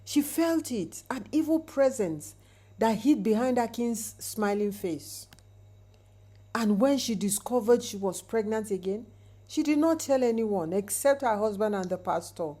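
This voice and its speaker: Female storyteller for suspenseful folktales, unhurried and warm-toned, given to dramatic asides. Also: on the high side, animated. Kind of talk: dramatic scripted folktale narration.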